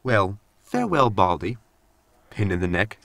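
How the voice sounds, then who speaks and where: A man's voice: on the low side, very animated, a little slow, music in the background. An actor playing a blunt, cheeky male android in a sci-fi comedy audio drama, matter-of-fact and polite with a sting.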